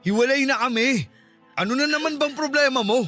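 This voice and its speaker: A man's voice: very high voice, a little fast, pushed and bright, soft background music. A man playing a defensive, insistent, manipulative cheating lover in a radio drama.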